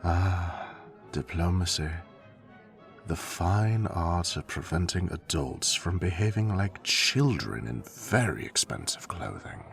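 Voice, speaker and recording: A man's voice: low voice, lively intonation, takes it slowly, vocal fry, fairly bright tone, soft background music, full character voice. A man playing an elegant, dryly witty fae butler in an audio drama, speaking with formal, courtly politeness. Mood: dry, admonishing. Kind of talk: scripted audio roleplay acting.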